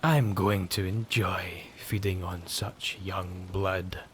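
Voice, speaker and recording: A man's voice: low voice, steady tone, a little hiss or hum. A man playing a sadistic vampire in an ASMR horror roleplay, savouring his prey with smug, gloating menace. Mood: gloating, hungry. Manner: slow, drawn-out, gloating menace.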